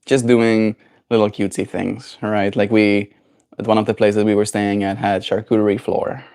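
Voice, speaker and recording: A man with a South African accent and a voice a little lower than most men's hosts a relaxed livestream. He thinks aloud in a meandering, reflective way and keeps tossing in "right?" as he goes. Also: moderate pace. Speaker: livestream host. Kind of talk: casual livestream chat.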